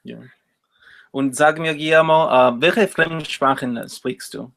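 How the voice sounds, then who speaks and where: A man's voice: fairly high pitch, takes it slowly, creaky, a bit bright. A man hosting a casual interview, asking simple questions and repeating answers back. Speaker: interviewer, host.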